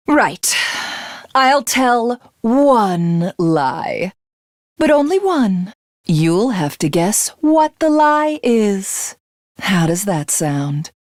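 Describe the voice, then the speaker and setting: An imperious alien warlord woman who believes herself divine. Her speech is slow, formal and theatrical, with highly expressive pitch movement. It is a video game character voice in a dry, clean recording. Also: no echo. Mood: coolly playful. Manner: haughty, formal, theatrical, deliberate. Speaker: voice actress.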